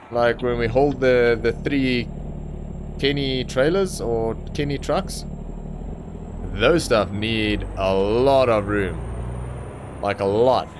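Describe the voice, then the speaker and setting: A young man with a mid-range voice, an Afrikaans speaker, chatting loosely as he drives in a trucking game. He rambles, fills gaps with ums and circles back to his point. A steady drone of truck engine and road noise sits clearly under his voice. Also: medium pitch. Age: young adult. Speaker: gaming channel host. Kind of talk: casual gameplay commentary.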